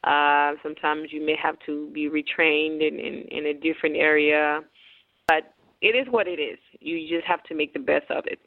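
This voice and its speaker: A woman's voice: deep voice, fairly even intonation, moderate pace. A woman from Haiti, a business owner, talking as a guest in a radio interview, earnest and often restarting her words. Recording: tinny phone-like radio broadcast.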